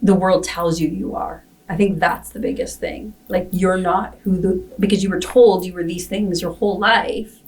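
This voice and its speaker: A woman's voice: lowish voice, steady tone, moderate pace, darkish tone. A woman hosting a casual podcast conversation, speaking in an affirming way and often checking for agreement. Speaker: podcast host. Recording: distant microphone.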